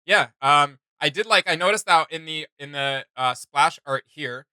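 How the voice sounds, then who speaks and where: A man's voice: a little high, moderate pace, bright, forceful tone, smooth, no room sound. A man hosting a reaction video, chatting casually in an enthusiastic, rambling fan's manner. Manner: enthusiastic, rambling fan talk. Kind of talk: casual chat.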